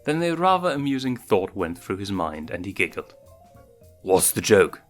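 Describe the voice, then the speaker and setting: A man's voice: lowish voice, big pitch swings, fairly quick, fairly bright tone. A man reading fan fiction aloud as scripted narration, acting out the dialogue as he goes. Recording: music behind the voice. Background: background music.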